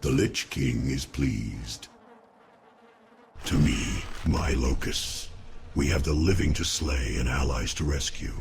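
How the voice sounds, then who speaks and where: A man voicing a giant undead spider lord in a fantasy game cutscene, gloating and crooning grandly in a creaking croak. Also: slight background noise, full character voice. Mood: proud. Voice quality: deep gravelly creaking croak. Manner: slow, crooning, speaks grandly of himself.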